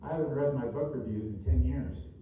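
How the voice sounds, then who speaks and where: A man delivers a motivational keynote in a very reverberant room, picked up from a distance. His voice is very soft-edged and dark in tone, at a middling pitch. He speaks earnestly and deliberately, building short phrases through repetition and emphasis. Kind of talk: inspirational keynote speech to a live room. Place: large indoor room at a seminar. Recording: distant mic, echoey room, faint noise.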